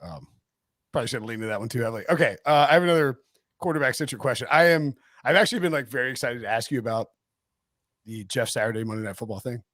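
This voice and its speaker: A man hosting a sports podcast in casual, jokey chat, with a halting, excitable way of getting to his point. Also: medium pitch, no echo, studio quality.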